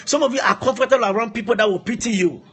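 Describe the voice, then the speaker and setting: A man preaching an impassioned sermon, emphatic and repetitive, driving his points home with rhetorical questions in a husky, rough-edged voice. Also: extremely high pitch, rapid-fire. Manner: emphatic, repeats phrases, poses rhetorical questions.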